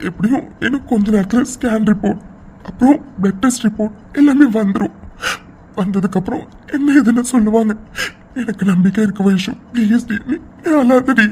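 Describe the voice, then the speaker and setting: A woman playing an anxious young husband in an emotional audio drama, pleading tenderly and haltingly as he reassures his wife. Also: fairly high pitch, a little mellow, natural voice. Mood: pleading, tearful. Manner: pleading, halting, repeats words, tearful. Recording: faint background noise.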